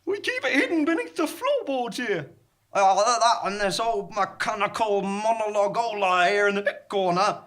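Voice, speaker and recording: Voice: medium pitch, animated, moderate pace, fairly bright tone, close to an everyday voice. A performer playing a drunken, disillusioned, self-mocking frontier lawman on stage, wordy and theatrical, in a comic sci-fi western. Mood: pleading, rambling.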